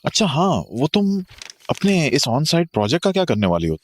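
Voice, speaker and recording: An actor playing a reassuring, easygoing husband in an audio horror drama, conversational with some English mixed in. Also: male voice, fairly high pitch, sing-song, fairly quick, a little hiss or hum. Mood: casual.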